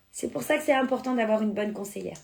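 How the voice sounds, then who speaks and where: High-voiced chatty woman selling makeup. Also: creaky, background noise. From born in France, Spanish family. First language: Spanish. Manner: chatty, answers viewers' comments. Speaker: beauty product seller on a live stream. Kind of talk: casual live-stream sales chat.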